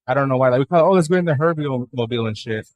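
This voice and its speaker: Dallas guy in his 20s, talks fast, says like a lot, swears, guest on a podcast. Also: medium pitch, a little mellow. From Dallas, Texas. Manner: rapid, filler-heavy, profane. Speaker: guest comedian. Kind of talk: casual interview chat.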